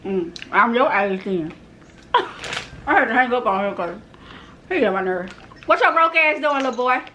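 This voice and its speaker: A woman's voice: on the high side, animated, a little slow, pushed and bright, a little hiss or hum. A woman from Texas hosting a prank video, trash-talking strangers playfully over a phone video chat. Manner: teasing, playful trash talk. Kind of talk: casual prank chat on a video call app.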